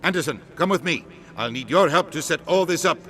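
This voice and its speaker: An actor playing a forceful, brusque human ambassador in a video game. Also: male voice, on the high side, expressive, a little fast. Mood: brisk, businesslike. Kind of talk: dramatic video game acting. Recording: film music behind.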